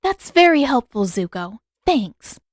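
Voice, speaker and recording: A woman's voice: on the high side, very animated, a little slow, no room sound, studio quality, pitched above the performer's own voice. A woman voicing a feisty, stubborn teenage girl heroine in a fantasy audiobook, with emphatic, exasperated delivery. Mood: exasperated, earnest.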